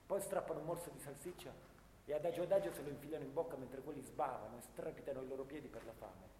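A male actor plays a young soldier of the First World War, haunted and anguished. The voice rings with long reverb in a roomy space, over clear noise. He tells his story in a dramatic radio-theatre monologue, moving between hushed bitterness and intensity. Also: medium pitch, fast talker, natural voice. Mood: weary, pitying.

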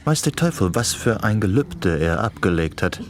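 Low-voiced, suspicious student amid noise. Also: male voice, very animated, moderate pace. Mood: curious, suspicious. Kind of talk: radio play acting. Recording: noisy lecture hall ambience.

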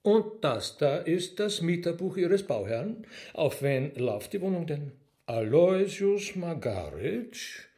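A man's voice: fairly high pitch, sing-song, slow pace, warm tone, full character voice. An actor playing a sly, theatrical demon henchman in a radio drama, flippant and mockingly courteous.

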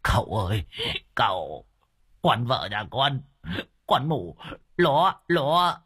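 A man's voice: mid-range voice, big pitch swings, takes it slowly, a bit bright, husky, exaggerated voice. A man voicing a fearful, bumbling old village man who stammers. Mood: frightened.

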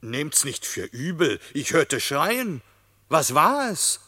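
A slow, trusting ram. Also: male voice, fairly high pitch, sing-song, sharp tone, in a large hall, exaggerated voice. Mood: earnest. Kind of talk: classic verse radio play.

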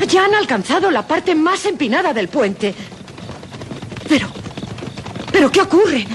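An actress playing an excitable, sheltered young noblewoman in a Castilian Spanish radio drama, describing things theatrically in halting phrases. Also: high-pitched, a little hiss or hum. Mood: alarmed excitement. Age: young adult.

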